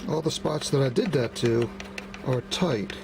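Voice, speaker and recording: A man giving a casual, unscripted demonstration as a hobbyist repairer, halting and methodical. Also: medium pitch. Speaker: hobbyist radio restorer. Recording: clearly noisy hobby video recording.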